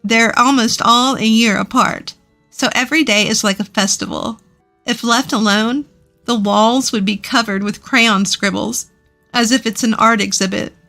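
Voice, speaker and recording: A woman's voice: fairly high pitch, steady tone, moderate pace, sharp tone, soft background music. A woman reading a scripted first-person story as its narrator.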